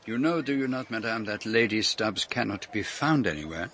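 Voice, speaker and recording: A man's voice: lowish voice, animated, moderate pace, fairly bright tone, a little hiss or hum. A man playing a courteous foreign detective in a radio drama, formal and politely probing as he questions people and narrates his case.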